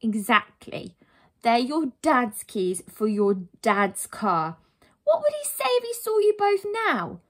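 Storybook role: woman as exasperated, sensible little schoolgirl, bossy and emphatic, very animated pitch. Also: a little fast, hardly any pauses, breathy edge. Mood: stern scolding. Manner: emphatic scolding. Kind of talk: children's storybook character reading.

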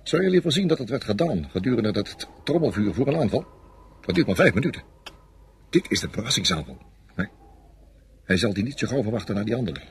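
An actor playing a confident, coldly calculating senior French army officer in a radio play, speaking with matter-of-fact authority. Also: male voice, lowish voice, big pitch swings, brisk, pauses often, slight background noise. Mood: commanding. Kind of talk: Dutch radio play acting.

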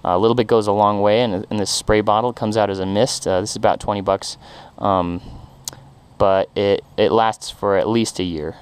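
A man with a low, smooth and resonant voice talks through a how-to in a relaxed, halting way, stopping often for ums and restarts. He is outdoors in a backyard, recorded on a head-mounted action camera. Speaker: backyard poultry keeper. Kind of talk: casual how-to explanation.

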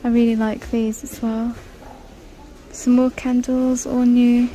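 Female vlogger, flat-ish delivery, reading prices aloud while filming in a store. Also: fairly high pitch, takes it slowly, slight background noise, rough recording. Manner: chatty, reads out prices. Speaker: shopping vlogger. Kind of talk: casual shop-with-me narration. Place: large indoor discount store. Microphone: handheld phone camera.

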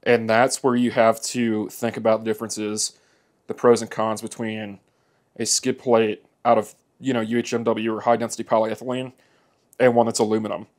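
A man's voice: fairly low pitch, flat delivery, moderate pace. Plainspoken man explaining a repair. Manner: easygoing, plainspoken, talks while working. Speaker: motorcycle repair demonstrator. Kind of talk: hands-on how-to narration. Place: indoor workshop.

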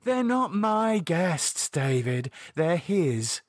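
A man's voice: on the high side, big pitch swings, drawn-out words, no room sound, studio quality, pitched above the performer's own voice, exaggerated voice. A man voicing a haughty, sensual woman with a macabre streak, arch and sardonic, with a British accent, in an audiobook. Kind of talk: audiobook character dialogue.

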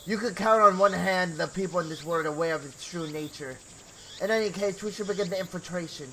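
A man's voice: sits high, fairly quick, bright, forceful tone, slight background noise, poor audio. A young man hosting a gaming video, reading story narration theatrically with casual asides and the odd stumble over words. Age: young adult. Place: small home bedroom. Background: game music.

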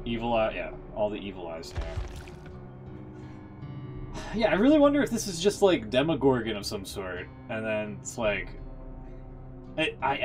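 A middle-aged man giving casual game livestream commentary, musing and halting, with some swearing. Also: mid-range voice. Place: indoor streaming setup. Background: music.

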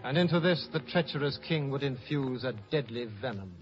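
A man's voice: medium pitch, lively intonation, fairly quick. A man giving scripted narration for a 1950s radio drama. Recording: dull vintage radio recording.

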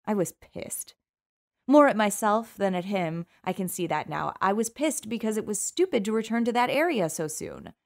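A woman's voice: medium pitch, expressive, moderate pace, creaky. A woman narrating a first-person audiobook in a wry, sultry storytelling manner.

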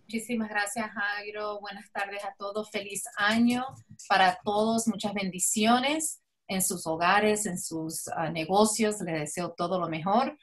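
A woman business owner introducing her company on a video call, polite and pitch-like. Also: fairly even intonation, unhurried.

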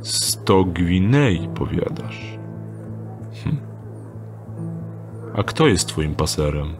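A calculating rogue, voiced by a man, in an audio drama, speaking in a low, unhurried tone. He weighs every offer with dry skepticism. Music runs clearly beneath him. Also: very animated, exaggerated voice. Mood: wary. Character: wary young male rogue Dieter, dry and calculating.

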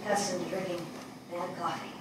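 An older woman acting a reflective, grieving writer with wry touches in a deliberate, theatrical stage reading. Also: lowish voice, steady tone, crowd noise, natural voice. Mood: gentle. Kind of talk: dramatic stage reading of a play. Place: small theatre stage before seated audience. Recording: echoey stage hall, distant mic, faint noise.

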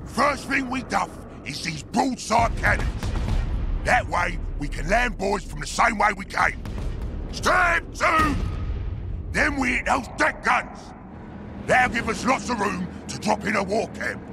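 Video game ork boss: greedy, cunning brute, Cockney, gravelly, big swooping pitch, unhurried bellow. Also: male voice, animated, raised voice, music in the background, exaggerated voice. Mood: commanding, eager. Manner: bellowing, crude broken grammar. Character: brutish, cunning, greedy ork warboss, male. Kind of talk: video game cutscene acting.

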